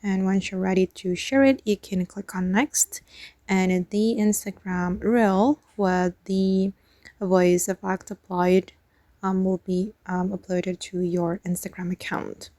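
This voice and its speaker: A woman's voice: mid-range voice, fairly even intonation, slow, warm tone, dry recording. A woman hosting a step-by-step how-to tutorial as a spoken voice-over. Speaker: tutorial host.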